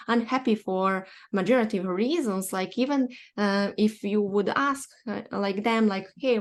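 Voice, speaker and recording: A young woman from Bosnia hosting a podcast chat in English with a Bosnian accent, speaking haltingly with many fillers and restarts. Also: mid-range voice. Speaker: podcast host. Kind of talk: casual online chat.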